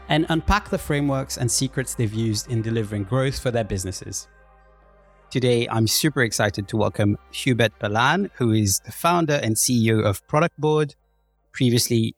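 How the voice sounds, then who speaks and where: A man hosting a podcast, introducing his guest and asking interview questions in a conversational way. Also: medium pitch. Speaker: podcast host.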